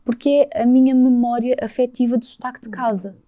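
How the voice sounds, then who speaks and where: A woman in her forties, born in Brazil, speaking with a mixed Portuguese-Brazilian accent as an animated guest in a studio podcast. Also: on the high side, brisk, no room sound, muffled recording. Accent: Portuguese, shifting toward Brazilian Portuguese. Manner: animated, gestures with her hands, does mock voices for quotes. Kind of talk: casual conversational interview. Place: cosy caravan studio set. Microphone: studio mic on a boom arm, with headphones.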